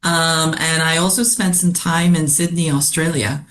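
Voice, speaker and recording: A woman from South Korea, a company CFO, answering interview questions in a measured way with frequent ums and uhs. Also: deep voice, steady tone, a bit bright. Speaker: CFO guest. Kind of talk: podcast interview.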